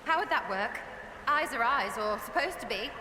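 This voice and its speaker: An actress playing a quirky, blunt young elf woman in a video game, grumbling in a halting way. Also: on the high side, expressive, natural voice. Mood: puzzled, irritated. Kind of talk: video game party banter. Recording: noisy, echoing cavernous game ambience.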